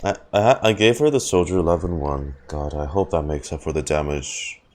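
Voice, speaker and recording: A man's voice: very deep voice, normal speed, a little mellow, a little hiss or hum. A man giving casual live reaction commentary as a video game streamer, musing in trailing phrases.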